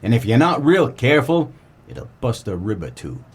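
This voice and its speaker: An American man playing a world-weary, wisecracking 1940s private eye in a comedic noir radio play, with deadpan melodrama. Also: medium pitch, normal speed, breathy edge. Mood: brooding, wry. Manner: deadpan, melodramatic tough-guy delivery.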